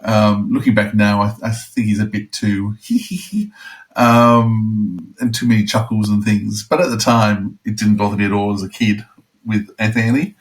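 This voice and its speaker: Guy with a fairly low voice chatting on a podcast, kinda halting, working it out as he talks. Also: rough recording. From outside the UK. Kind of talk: casual podcast chat.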